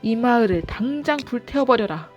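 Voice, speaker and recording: A woman's voice: fairly high pitch, expressive, moderate pace, a little mellow, lo-fi sound, faint music behind, pitched above the performer's own voice, natural voice. A woman voicing a tyrant's angry henchman in a storybook, barking threats and orders. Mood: angry threatening.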